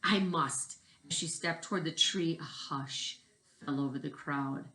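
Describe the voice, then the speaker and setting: A woman's voice: low-pitched, steady tone, moderate pace, a bit bright. A woman teacher reading a picture book aloud expressively, stressing key words and putting questions to young listeners. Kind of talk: storybook read-aloud with questions to children.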